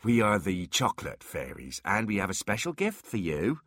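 Man doing a wry, low-voiced dwarf. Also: big pitch swings, normal speed, fairly bright tone, slightly rough, no room sound, clean studio sound, full character voice. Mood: falsely sweet. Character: crafty, smelly male dwarf, gruffly wry.

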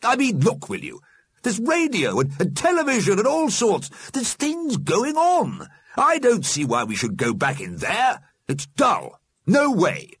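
A man's voice: sits high, huge pitch swings, a little slow, fairly bright tone, breathy edge, no room sound, studio quality, voice pushed up, full character voice. A man voicing a blustery, restless dead alderman, grumbling and blunt, for a storybook. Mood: defiant grumbling.

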